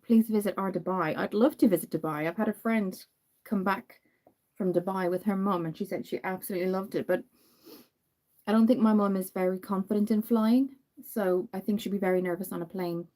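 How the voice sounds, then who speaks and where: A young woman with a Dublin accent talks in a relaxed, rambling way, stopping often to greet viewers by name. Her voice is mellow and warm. She sits at a medium, everyday pitch. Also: a little fast. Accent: Irish, Dublin. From Ireland. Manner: chatty, apologetic, greets viewers by name, frequent ums. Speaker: makeup livestream host. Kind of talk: casual live-stream chat while doing makeup.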